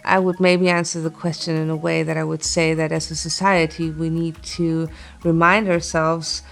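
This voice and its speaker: A woman from Chile, raised across Europe, speaks as an artist being interviewed, earnest and thoughtful, pausing mid-sentence. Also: deep voice, little pitch movement, creaky. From born in Chile, grew up in Italy, England and Germany. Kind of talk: reflective interview talk.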